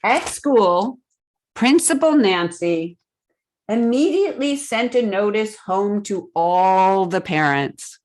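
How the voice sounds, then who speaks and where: Slow, very expressive woman reading to children. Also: medium pitch, low-quality recording. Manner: expressive, deliberate, simple phrasing with questions to children. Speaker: storytime host reading a picture book to children. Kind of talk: read-aloud storytelling with asides to young listeners.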